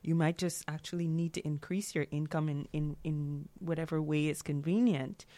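A woman's voice: low voice, steady tone, normal speed, warm tone. A woman interviewing a guest, encouraging and affirming as she asks her questions. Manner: encouraging, frequent affirming murmurs. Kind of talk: conversational interview.

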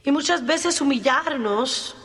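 A woman's voice: sits high, slow pace, a bit bright. A woman preacher giving a sermon in an emphatic, plain-spoken and self-deprecating manner. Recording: long hall reverb.

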